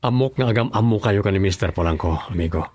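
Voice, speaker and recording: A man acting an earnest provincial governor in a radio drama. His Ilocano-accented voice is low and firm. The recording is dry and close. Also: fast, a little mellow, dry recording, natural voice.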